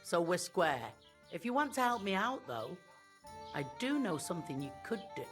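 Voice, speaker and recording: An actress playing an earthy, shrewd medieval tavern keeper in a video game, talking in a frank, folksy, casual way. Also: female voice, fairly low pitch, big pitch swings, normal speed, soft background music. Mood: easygoing, frank. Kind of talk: video game dialogue acting.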